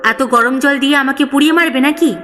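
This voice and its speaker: Woman acting a stern scolding father-in-law, pitched up. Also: brisk, fairly bright tone. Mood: angry, shouting. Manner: barking rhetorical reproaches. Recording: long reverb, background music.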